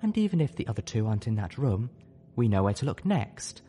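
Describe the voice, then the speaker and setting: A man voicing a gentle, earnest lady unicorn with firm conviction in an audiobook. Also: on the low side, sing-song, fairly quick, in a large hall, a little hiss or hum. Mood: sad but resolute.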